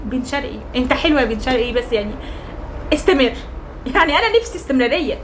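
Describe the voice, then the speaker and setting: Hoarse, rapid-fire Egyptian woman. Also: high-pitched, lively intonation, slight background noise, low-quality recording. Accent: Egyptian Arabic. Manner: chatty, teasing, emphatic. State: hoarse from cheering. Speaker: football fan vlogger. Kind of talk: casual player-rating monologue.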